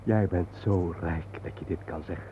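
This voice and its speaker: A man's voice: sits low, fairly even intonation, normal speed, mellow, lightly acted. An actor playing an intense, soul-searching man, earnest and declamatory in a poetic radio drama. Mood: reverent, sombre. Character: intense, soul-searching man lost among the war dead. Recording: muffled, noisy old radio play recording.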